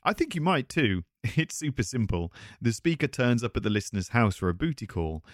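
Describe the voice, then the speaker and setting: A man plays a chatty erotic-audio performer in a casual roleplay aimed at the listener. His pitch moves around a lot, and he sounds self-conscious and hesitant, in a very dry recording. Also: very animated, a little fast, dry recording, lightly acted. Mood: chatty, nervous. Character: chatty, nervous erotic-audio voice actor hosting a visitor.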